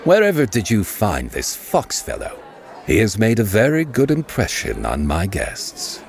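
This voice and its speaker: Menacing nobleman, creaky low register, swooping intonation, haughty, dark, audiobook drama. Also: huge pitch swings, unhurried, heavily acted. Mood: curious, imperious. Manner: dark, low-voiced, imperious. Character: haughty, menacing lord, master of a revel. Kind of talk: audiobook character acting.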